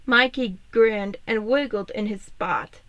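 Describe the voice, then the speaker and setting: A woman's voice: medium pitch, takes it slowly, fairly bright tone, dull audio. A woman narrating a scripted story as a fan fiction reader.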